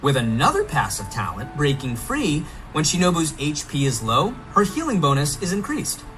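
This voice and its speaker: A man's voice: a little high, huge pitch swings, normal speed, a bit bright. A man giving a semi-scripted promotional game presentation in a playful, theatrically enthusiastic manner. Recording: music behind, played within a reaction video. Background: clear music.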